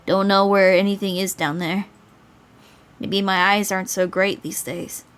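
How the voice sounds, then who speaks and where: A woman's voice: medium pitch, level tone, unhurried, a bit bright, lightly acted. A woman voicing a weary, wry older man with a dry, understated manner in a visual novel.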